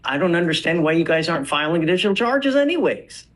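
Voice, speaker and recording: Man, judge, fairly high pitch, firm, blunt, courtroom hearing. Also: very animated, quick pace, a bit bright. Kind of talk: presiding over a court hearing.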